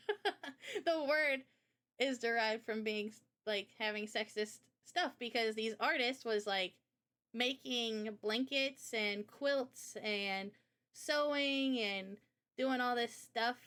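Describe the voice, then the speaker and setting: A woman with a somewhat high-pitched voice talks casually on a livestream. She explains things informally and with firm opinions, as if chatting with a friend. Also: slow pace, a bit bright. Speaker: streamer and game developer. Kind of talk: casual livestream chat, explaining art ideas.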